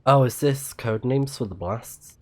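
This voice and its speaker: A man livestreaming a video game, reading on-screen text aloud haltingly and muttering casual reactions. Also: medium pitch, darkish tone.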